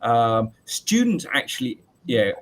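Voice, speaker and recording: A man in his late forties, a teacher, who talks in a loud voice and answers interview questions in a chatty way with many fillers and restarts. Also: mid-range voice, big pitch swings, slow. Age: 40s.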